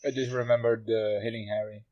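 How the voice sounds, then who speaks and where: A man's voice: lowish voice, little pitch movement, fairly quick, warm tone, no echo, highs cut off. A man hosting a casual panel discussion, prompting guests with short questions. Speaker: podcast host.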